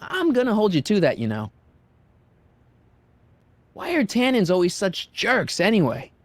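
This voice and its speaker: Animated, puzzled American teenage boy. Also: high-pitched, measured pace, heavily acted. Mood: casual, probing. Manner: casual, questioning. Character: teenage boy time traveler, curious, casual, puzzled sidekick. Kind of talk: scripted video game dialogue.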